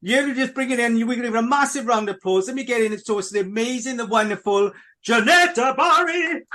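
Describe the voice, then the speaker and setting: Man, high voice, chatty radio host, talks straight to listeners, repeats himself. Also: brisk, bright, forceful tone. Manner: chatty, repetitive, keeps addressing listeners. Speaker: podcast host. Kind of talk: casual radio chat.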